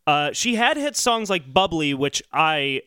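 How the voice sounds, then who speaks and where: Male podcast co-host, high and bright voice, casual and earnest, rambling as he explains and defends his points. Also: very animated, measured pace, clean tone, no echo, studio quality. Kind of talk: casual comedic podcast discussion.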